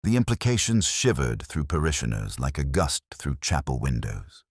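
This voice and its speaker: A man narrating a literary mystery in a measured, dramatic storytelling manner. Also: very deep voice, big pitch swings, slightly rough, no room sound, studio quality.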